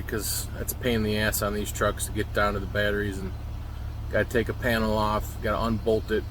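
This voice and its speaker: A man's voice: fairly low pitch, fairly bright tone, slight background noise. A man talking informally in a rambling, plain-spoken way, giving an unscripted how-to walkthrough on a handheld recording in a truck cab. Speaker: truck driver filming a DIY install video. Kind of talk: casual unscripted how-to vlog. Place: inside a semi truck cab.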